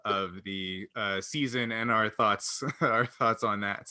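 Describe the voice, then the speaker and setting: A man co-hosting a casual panel chat about a TV show, animated and opinionated as he steers the discussion. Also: lowish voice, slow, clean tone. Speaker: podcast co-host leading the discussion.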